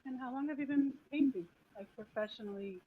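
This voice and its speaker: A woman's voice: high-pitched, a little fast, slightly rough. A woman hosting a podcast interview, bubbly and teasing as she asks questions. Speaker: podcast host. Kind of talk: casual interview. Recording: dull lo-fi sound with faint noise.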